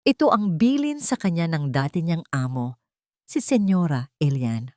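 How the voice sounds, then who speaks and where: A woman with a Filipino accent narrates a dramatic audio story. Her voice sits low for a woman, and the sound is dry, with very little room on it. The delivery is that of scripted suspense fiction. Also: big pitch swings, moderate pace, darkish tone, no echo.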